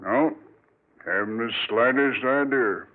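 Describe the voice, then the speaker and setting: An actor playing an aged, taciturn hitchhiker in an old-time radio drama, terse and guarded, with a rural American accent. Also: male voice, mid-range voice, slow pace, narrow, tinny audio, lightly acted. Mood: grimly secretive. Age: older. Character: aged, mysterious, taciturn male drifter hitchhiker. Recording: thin band-limited vintage radio recording.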